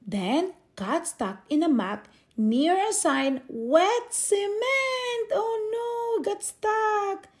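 Female storybook reader, high-pitched and unhurried, theatrical with stretched key words. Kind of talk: expressive read-aloud of a children's picture book.

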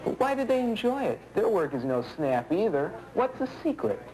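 Young American male counter worker: colloquial, questioning, higher voice with animated swings, vintage training film. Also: moderate pace, poor audio. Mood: weary, skeptical. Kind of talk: scripted 1970s training-film acting. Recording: 1970s film soundtrack, faint music.

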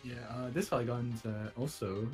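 A man with a medium-pitched voice chats casually as he plays a video game with friends. Faint game music sits behind his voice. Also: moderate pace, darkish tone. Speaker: player in a group game video. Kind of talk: casual gameplay banter.

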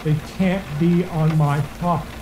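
An actor playing a rule-obsessed rental owner in a horror audio drama, measured and politely matter-of-fact in his menace. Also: on the high side, fairly even intonation, mellow, echoey room, slight background noise, close to an everyday voice. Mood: calm menace.

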